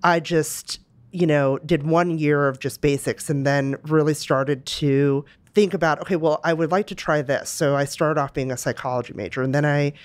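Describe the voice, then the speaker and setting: A woman's voice: low-pitched, steady tone, moderate pace, no echo. A middle-aged woman from Romania, answering interview questions in a reflective way with many fillers and restarts. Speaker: guest, music company executive.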